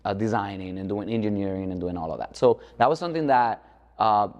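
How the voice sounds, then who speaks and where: Male architect guest from the Dominican Republic, lowish voice, informal interview talk, long reverb. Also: a little fast, a little mellow, lo-fi sound. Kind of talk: conversational interview. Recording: echoey hall-like reverb.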